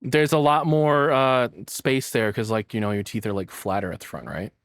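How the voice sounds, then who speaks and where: A man's voice: mid-range voice, fairly even intonation, moderate pace, a bit bright, smooth, no echo. Male reaction streamer, mid-range voice, unscripted and rambling, foul-mouthed joking, very dry sound. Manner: rambling, sweary, jokey. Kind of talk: casual off-the-cuff reaction commentary.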